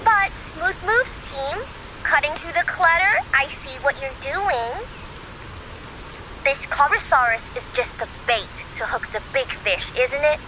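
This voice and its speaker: A woman voicing a perky, quirky young reader of omens in a video game, playful, breezy and expressive. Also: sits high, noisy background.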